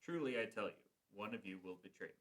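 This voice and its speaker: A man reading the part of Jesus in a church passion play, slow and grave. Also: on the low side, lively intonation, fairly bright tone. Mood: solemn. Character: Jesus, calm solemn male teacher.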